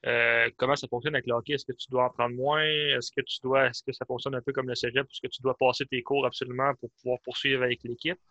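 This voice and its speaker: Bright-voiced man asking meandering questions. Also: mid-range voice, flat delivery, quick pace, few pauses, no room sound, highs cut off. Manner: asks long, meandering questions with hesitations. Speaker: interviewer. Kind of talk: casual interview questions.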